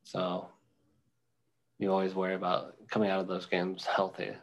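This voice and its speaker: Name: gravelly low-voiced guy. Tone: casual sports talk. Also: monotone. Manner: rambling, halting, many ums and restarts. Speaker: podcast co-host.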